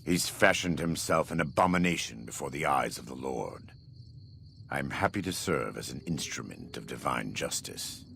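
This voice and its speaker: A devout, vengeful former warlord in a video game. His voice is extremely low, with a heavy gravelly creak. He speaks with solemn, measured, preacherly conviction, over faint background noise. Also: expressive, a bit bright, full character voice. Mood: grim, condemning. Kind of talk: video game dramatic dialogue.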